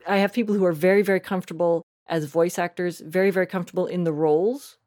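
A woman's voice: lowish voice, fairly even intonation, fast talker, darkish tone. A woman chatting casually and emphatically as an interview guest about her creative work. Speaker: interview guest, audio fiction writer and producer.

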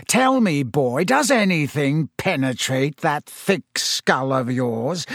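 A man voicing a cold, menacing, scornful male professor in an audiobook, speaking slowly and deliberately. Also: fairly high pitch, a bit bright, dry recording, studio quality, heavily acted. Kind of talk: audiobook character voice.

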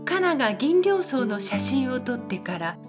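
A woman voicing a calm storyteller, narrating a scripted Japanese audio drama. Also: high voice, fairly quick, music in the background. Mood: calm narration.

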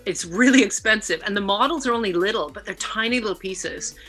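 Bright-voiced lively middle-aged Canadian woman. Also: medium pitch, fairly quick, soft background music. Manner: lively, laughing, animated storyteller. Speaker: guest. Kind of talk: casual interview chat.

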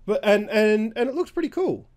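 A man co-hosting a weekly gaming show, a little raspy after being sick, chatting casually with lots of restarts. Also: sits high, animated, darkish tone. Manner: halting, many restarts and fillers. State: slightly raspy from recent illness. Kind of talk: casual chat.

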